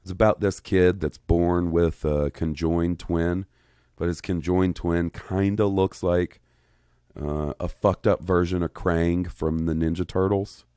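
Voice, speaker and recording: A man in his thirties co-hosting a podcast in casual chat, rambling and profane, getting excitable. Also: sits low, a little slow, smooth, no echo, clean studio sound. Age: 30s. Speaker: podcast co-host.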